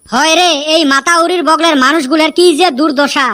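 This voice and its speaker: A woman's voice: high-pitched, a little fast, sharp tone. A woman voicing a scheming male villager in a cartoon, speaking a Rangpur dialect and teasing his friend. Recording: noisy homemade recording.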